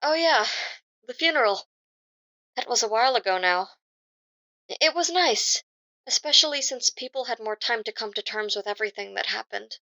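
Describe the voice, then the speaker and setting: A woman's voice: on the high side, expressive, moderate pace, long pauses, bright, forceful tone. An actress playing an anxious young British woman in a naturalistic audio drama. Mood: guarded, dismissive. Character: anxious young woman doubting her own sanity.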